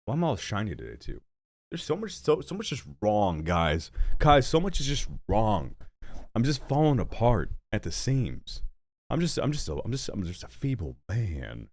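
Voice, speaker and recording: A young man streaming games and chatting casually, rambling and joking at his own expense, with a stuffy nose and hiccups. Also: moderate pace. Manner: rambling, self-mocking, animated with sudden jumps in pitch. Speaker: streamer and gaming channel host. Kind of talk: casual live-stream chat.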